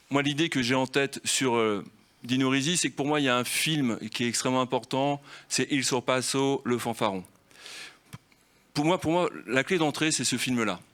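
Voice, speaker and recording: A man's voice: medium pitch, fairly bright tone. A man leading a festival round table on film, talking freely and explaining through rhetorical questions.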